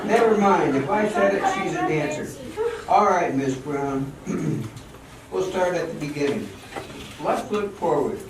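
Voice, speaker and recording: A man's voice: a little high, animated, a little slow, very noisy. A man playing an exacting, cocksure professional dancer in a theatrical amateur radio drama, with a commanding, instructive manner. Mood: exasperated. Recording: echoey hall, distant mic, music behind.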